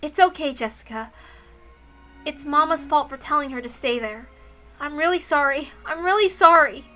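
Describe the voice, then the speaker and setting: A woman voicing a doting young mother who soothes and gently chides with tender affection. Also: high-pitched, normal speed, soft background music. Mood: gentle, apologetic.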